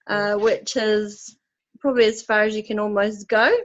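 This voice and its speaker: A woman from New Zealand's far north with a New Zealand accent, a netball team organiser answering interview questions in a relaxed, friendly way. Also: mid-range voice, moderate pace, dull audio. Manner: relaxed, friendly, some ums.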